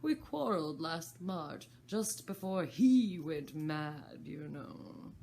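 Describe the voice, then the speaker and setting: A woman's voice: low-pitched, very animated, slow, darkish tone, a little hiss or hum, full character voice. A woman voicing an eccentric, quarrelsome man with a mournful, sighing way of talking. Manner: mournful, then smugly argumentative.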